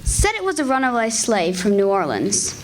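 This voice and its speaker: A woman plays a gossipy country kid in old-time radio theatre. The voice is fairly high and lively, with faint noise behind. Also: normal speed. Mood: matter-of-fact, curious.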